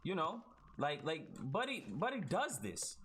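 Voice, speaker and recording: A man's voice: a little high, huge pitch swings, unhurried, a bit bright, slight background noise. A man improvising a mock-epic comic story in casual slang, repeating himself and tagging phrases with "right?". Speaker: storyteller narrating over gameplay video. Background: basketball video game sounds and chatter.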